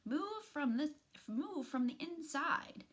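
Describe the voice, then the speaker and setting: A woman's voice: high-pitched, big pitch swings, slow pace, fairly bright tone, voice pushed up, full character voice. A woman voicing a mother possum who teaches acting, instructive and dramatic, in a children's storybook. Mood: coaching.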